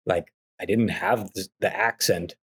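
A man's voice: deep voice, steady tone, measured pace, a bit bright, husky. A man in his thirties, a podcast guest, speaking in an earnest, thoughtful way with some hesitations. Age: 30s. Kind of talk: casual conversation.

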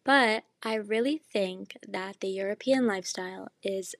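A young woman hosting her own casual podcast, chatty and enthusiastic, jet-lagged and slightly hoarse as she loses her voice. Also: medium pitch, measured pace, fairly bright tone, no room sound, studio quality. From Canada. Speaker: podcast host. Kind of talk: casual solo podcast monologue.

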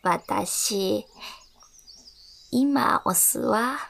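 Gentle, creaky old wife. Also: female voice, medium pitch, full character voice. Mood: gentle, loving. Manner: slow, soft-hearted, deliberate. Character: gentle elderly wife, lovingly saying farewell. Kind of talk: radio drama acting.

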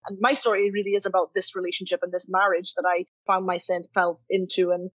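A woman's voice: on the low side, level tone, fairly quick, dry recording. A woman from Trinidad, a guest telling her personal story in a reflective, earnest way, often saying "you know" and "kind of". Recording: slightly dull, dry recording.

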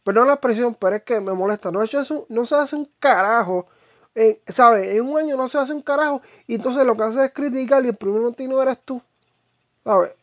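Puerto Rican vlogger, informal and opinionated, halting slangy delivery, close dry sound with muffled lo-fi quality. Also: medium pitch, no echo. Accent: Puerto Rican Spanish. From Puerto Rico. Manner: informal, halting, peppered with slang and English fillers. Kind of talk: casual, opinionated monologue to viewers.

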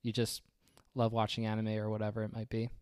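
A man's voice: fairly low pitch, monotone, fast, darkish tone, clean tone, no room sound, studio quality. A man hosting a casual interview, chatty, with open questions. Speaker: podcast host.

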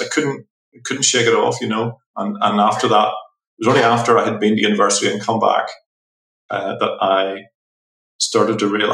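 A middle-aged man from Belfast with a Northern Irish accent, telling his story as an interview guest, rambling and restarting his sentences and trailing off softly. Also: lowish voice. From Northern Ireland. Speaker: watchmaker guest. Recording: echoey room.

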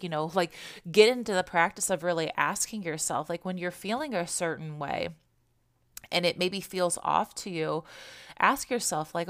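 A woman in her thirties talks through a solo podcast episode in a gentle, musing way, circling back on her words and asking questions aloud. Her voice sits a little low and stays smooth and even, though it sounds slightly congested and hoarse. The delivery is relaxed and reflective. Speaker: podcast host. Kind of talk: casual spiritual podcast monologue.

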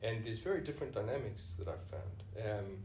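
A man's voice: lowish voice, lively intonation, fairly quick, a little mellow, far from the mic, a little hiss or hum. A man with a South African accent, a senior clinician answering interview questions thoughtfully, often restarting with "you know". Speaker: senior clinician, podcast guest. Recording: echoey room, voice off-mic.

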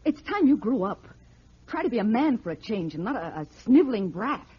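Fast, sharp-tongued young wife. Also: female voice, fairly high pitch, sing-song, husky, heavily acted. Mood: scornful. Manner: scolding, dismissive. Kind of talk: 1940s radio drama acting. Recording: muffled old radio broadcast recording.

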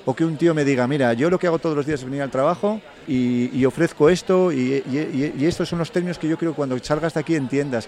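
A man's voice: mid-range voice, darkish tone. A man with a Spain Spanish accent, a fair organizer talking in a live radio interview outdoors, thoughtful and full of restarts and self-corrections. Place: outdoors in city park gardens. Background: crowd.

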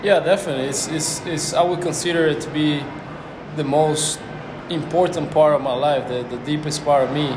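A fairly high-voiced young man from Cuba, Spanish his first language, speaking slowly and thoughtfully as he feels for the right words. The room rings around him. A steady hiss of background noise sits under his voice. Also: reverberant. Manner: reflective, searching for words. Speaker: guest. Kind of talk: casual conversation.

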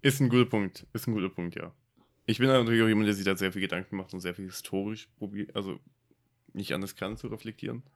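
Low-voiced young German man, reflective. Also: quick pace. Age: about 20. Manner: reflective, hesitant, many fillers. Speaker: podcast co-host. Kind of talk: casual discussion.

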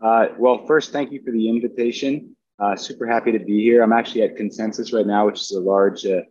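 A man from Brazil speaking as a remote panelist on a video call, talking in a halting way with many fillers. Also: on the low side, steady tone, a little mellow, breathy edge. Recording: dry, dull lo-fi call audio.

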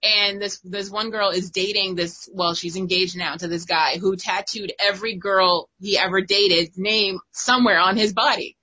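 Chatty young woman, bright but a bit husky, lots of likes and laughing. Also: mid-range voice, steady tone, normal speed, few pauses, low-quality recording. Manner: chatty, laughs often, many fillers. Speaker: guest co-host. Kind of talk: casual chat.

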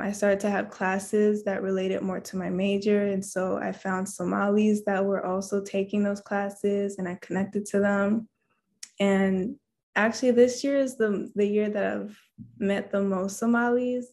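A soft-spoken young woman, a college student, answering interview questions hesitantly with many pauses and fillers. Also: mid-range voice, little pitch movement. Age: young adult. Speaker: guest, engineering student.

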